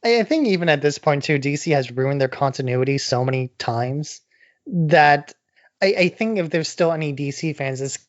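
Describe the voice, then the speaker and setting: A man's voice: a little high, expressive, normal speed, dry recording. Guy on a podcast casually explaining stuff, totally dry sound, kinda dull lo-fi audio. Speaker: podcast co-host.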